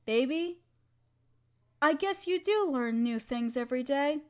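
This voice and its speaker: A woman's voice: high-pitched, animated, measured pace, a little mellow. A woman playing an affectionate, playful young wife in an intimate roleplay audio, talking tenderly and teasingly to her partner. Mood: warm, nostalgic.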